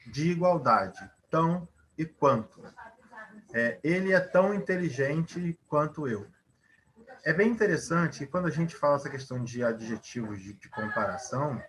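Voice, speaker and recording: A man's voice: a little high, fairly bright tone, slight background noise, rough recording. Man teaching grammar with filler words. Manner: explanatory, many fillers, rhetorical questions. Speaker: Portuguese teacher. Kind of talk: online grammar lesson.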